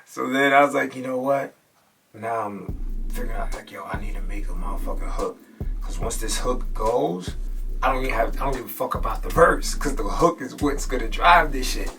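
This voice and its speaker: A man's voice: medium pitch, moderate pace, creaky, recorded from a distance. A young man casually telling how he made his own rap track, loose and profane, acting out what he said at the time and sometimes dropping to a whisper. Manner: loose and profane, acts out his own past remarks, drops to a whisper at times. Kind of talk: casual storytelling. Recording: voice from off-mic with music playing behind.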